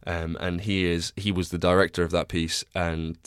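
A man's voice: deep voice, little pitch movement, moderate pace, clear, resonant tone, no echo, clean studio sound. A young man hosting a podcast, chatting casually and rambling with many restarts, tired and congested. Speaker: podcast host. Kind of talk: casual solo podcast chat. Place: small cramped home recording space.

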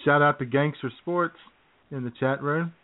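A man's voice: a little high, normal speed. A man co-hosting a radio show with casual banter. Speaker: radio co-host. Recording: thin phone-like radio sound.

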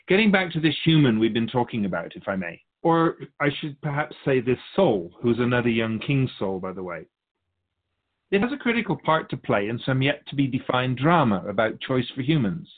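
A man speaking as a spiritual guide, answering in a measured, assured, didactic way in philosophical conversation. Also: medium pitch, sing-song, phone-quality sound.

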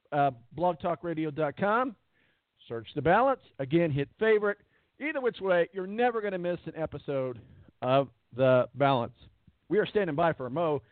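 Man, sports radio host, hoarse voice, rambling with fillers, phone-like dry sound. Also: fairly high pitch, dry recording. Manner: rambling, repeats words, many fillers. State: losing his voice. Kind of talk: casual radio show chat. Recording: tinny phone-line quality, dry.

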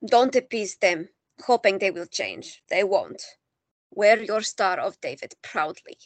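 A woman playing a proud, husky elderly veteran. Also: medium pitch, lively intonation, unhurried, no echo. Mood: firm. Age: older. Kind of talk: audio drama acting.

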